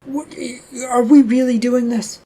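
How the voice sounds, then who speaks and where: A narrator voicing an exasperated, higher-pitched woman. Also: fairly even intonation, measured pace, mellow, close to an everyday voice. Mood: doubtful, exasperated. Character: exasperated, incredulous woman, Laura, sharp-tongued and doubtful.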